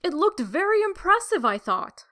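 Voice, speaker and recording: A woman's voice: very high voice, sing-song, normal speed, fairly bright tone, pitched above the performer's own voice, heavily acted. A woman voicing a sly, sarcastic male demon who needles his companion with teasing questions in an audiobook reading. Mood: needling.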